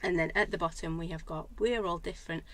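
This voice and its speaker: A woman hosting a live craft stream, showing handmade cards and greeting viewers by name in a chatty, enthusiastic way, her voice a little croaky. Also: lowish voice, steady tone, quick pace. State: slightly croaky voice. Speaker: craft stamping demo host.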